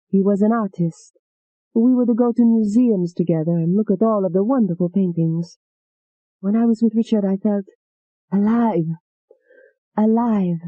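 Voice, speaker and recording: A woman voicing a gentle, romantic young woman alter ego with a soft, dreamy, wistful manner. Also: medium pitch, no room sound, phone-quality sound, voice pushed up, natural voice.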